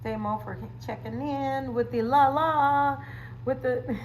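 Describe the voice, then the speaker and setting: High-voiced, unhurried woman chatting playfully. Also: phone-quality sound, a little hiss or hum. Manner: playful, chatty, with slang catchphrases and frequent laughter. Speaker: livestream host and wellness coach. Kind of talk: casual livestream chat, answering viewers.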